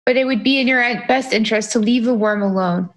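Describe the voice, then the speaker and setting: A woman's voice: a little high, fast. A woman co-hosting a casual gaming podcast, giving teasing, mock-serious advice. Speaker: podcast co-host.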